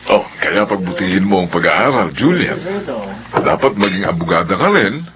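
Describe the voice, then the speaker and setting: Radio drama uncle: Filipino, boisterous, tipsy, low and animated. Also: male voice, moderate pace, a crowd in the background. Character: boisterous, tipsy, protective uncle, adult man.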